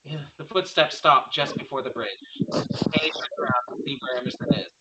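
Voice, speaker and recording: A man's voice: a little high, steady tone, fairly quick, pushed and bright, poor audio. A man narrating stage directions from a script at a virtual table read.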